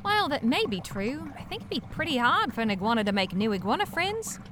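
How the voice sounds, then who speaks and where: A woman's voice: high-pitched, big pitch swings, a little fast. A woman playing a brash, foul-mouthed pet-shop worker with a blunt, sardonic manner in an audio drama. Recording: clear background noise.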